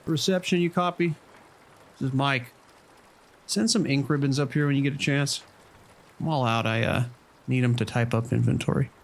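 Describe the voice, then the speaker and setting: A man playing a calm, cautious casino manager in an audio drama, giving instructions in a casual, steady way. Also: mid-range voice, moderate pace, pauses often, natural voice. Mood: calm, businesslike. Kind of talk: radio drama dialogue.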